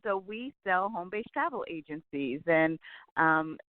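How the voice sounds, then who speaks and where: An enthusiastic woman in her forties talks on a tinny phone line. Her voice comes through smooth and dry, upbeat and eager as she explains things. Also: fairly low pitch, lively intonation, measured pace, dry recording. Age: 40s. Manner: upbeat, enthusiastic, says "absolutely" often. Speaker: guest, travel business owner. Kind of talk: phone interview.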